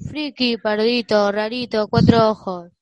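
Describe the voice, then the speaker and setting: A woman's voice: a little high, steady tone, measured pace, no echo, dull audio, natural voice. A woman acting a bossy schoolboy bully who announces cruel games like a game-show host in a school radio play. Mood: taunting. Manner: announcing, taunting.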